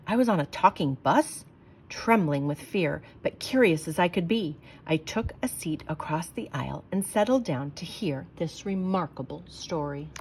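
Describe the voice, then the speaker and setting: A woman reading a children's book aloud in a clear, expressive storytelling manner. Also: on the low side.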